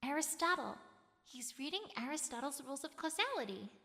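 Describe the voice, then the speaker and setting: A woman's voice: high voice, expressive, a little fast, pushed and bright, exaggerated voice. A woman voicing an anxious, bookish young female unicorn pony in a story, with a hesitant, self-doubting manner. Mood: hushed, studious. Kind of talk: dramatized fan fiction audiobook. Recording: long reverb on voice.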